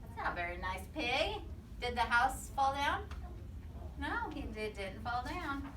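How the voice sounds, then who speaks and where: A woman's voice: sits high, very animated, a little slow, pushed and bright. A woman reading a storybook aloud expressively to young children, prompting them with questions and echoing their answers. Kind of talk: animated storybook read-aloud with questions to listeners. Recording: roomy, distant mic with background noise.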